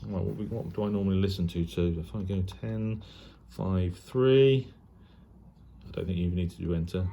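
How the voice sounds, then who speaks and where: A man's voice: deep voice, moderate pace, mellow. A man giving casual, unscripted commentary as a hobbyist presenter, hesitant and thinking aloud as he demonstrates gear. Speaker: shortwave radio hobbyist presenting a video. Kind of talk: casual unboxing and demo commentary. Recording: clear background noise and ambience.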